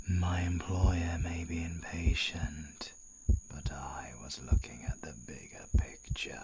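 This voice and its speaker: A British man playing a menacing supernatural interrogator in an ASMR audio roleplay, coldly polite and deliberate, with a breathy, gravelly, often whispered voice. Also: sits low, heavy background noise. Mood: calm, sinister. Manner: slow, deliberate, mockingly courteous. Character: menacing supernatural male villain, clawed soul-taking interrogator, coldly polite.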